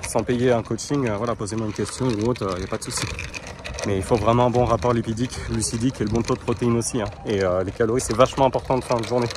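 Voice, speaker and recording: Chatty young male vlogger, mid-ride on a kick scooter, talking straight to viewers; outdoor city noise clearly audible. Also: medium pitch. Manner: chatty, addresses viewers directly, fillers and asides. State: strained from exertion. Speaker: vlogger, coach and nutritionist. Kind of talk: casual vlog commentary while riding a kick scooter. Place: outdoors in a city, parks and streets. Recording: noisy outdoor street sound. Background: outdoor city ambience.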